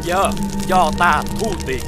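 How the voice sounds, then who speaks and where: An actor playing a menacing old monster in a children's cartoon, with a theatrical, drawn-out, taunting delivery. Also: mid-range voice, natural voice. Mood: menacing, gloating. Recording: loud music under the voice.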